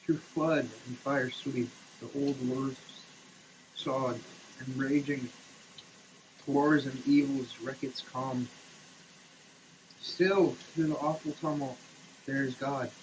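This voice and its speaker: A man giving a theatrical, declamatory dramatic reading, swinging between hushed delivery and shouting, as a comic performance. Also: mid-range voice, fairly even intonation, slow pace, pauses often, slight background noise, poor audio. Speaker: sketch comedy performer. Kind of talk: dramatic reading of a product label. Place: shower.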